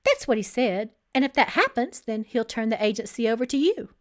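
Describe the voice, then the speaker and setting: A woman's voice: a little high, big pitch swings, fairly quick. A woman reading a story aloud, voicing a pampered young bride-to-be who is nervous, pleading and manipulative. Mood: wheedling. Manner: flustered, stammering, pleading.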